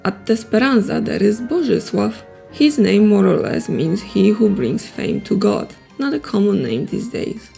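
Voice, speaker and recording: Gravelly woman explaining calmly. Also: mid-range voice, faint music behind. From Poland. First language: Polish. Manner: careful, explanatory, slows down on foreign words. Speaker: game video creator. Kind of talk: scripted explainer narration.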